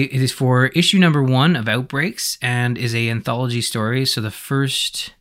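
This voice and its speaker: Man, podcast host, bright forceful voice, mid pitch, casual, animated, rambling.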